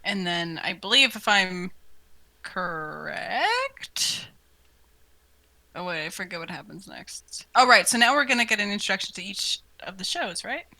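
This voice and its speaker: A woman's voice: mid-range voice, sing-song, unhurried, sharp tone. A woman chatting casually as co-host of a commentary, upbeat, often interjecting and asking questions. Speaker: co-host asking audience questions, voice cast member.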